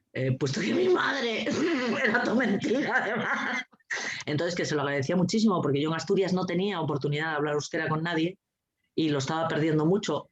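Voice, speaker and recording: A woman giving a lively, anecdotal talk as a guest speaker, blunt and sarcastic, swearing freely and laughing often. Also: low voice. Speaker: guest speaker, teacher trainer. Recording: dull lo-fi recording.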